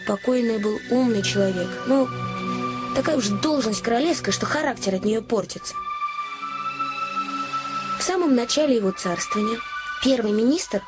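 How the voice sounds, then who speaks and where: An actress playing an anxious, kind-hearted young innkeeper's daughter in an audio play, confiding and earnest, telling things with naive expressiveness. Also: female voice, on the high side, expressive, moderate pace, pauses often, music in the background, close to an everyday voice. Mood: earnest storytelling. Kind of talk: Russian audio play storytelling.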